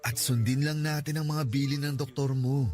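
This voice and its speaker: A man's voice: mid-range voice, steady tone, moderate pace, soft background music, close to an everyday voice. A man playing a devoted, anguished boyfriend. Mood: reassuring. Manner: gentle and reassuring, rising into tearful begging. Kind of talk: radio drama acting.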